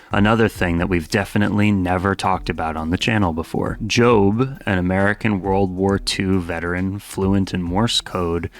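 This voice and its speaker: A man narrating a scripted video essay as host, with a wry, conversational manner and casual asides. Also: low-pitched. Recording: music under the voice. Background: background music.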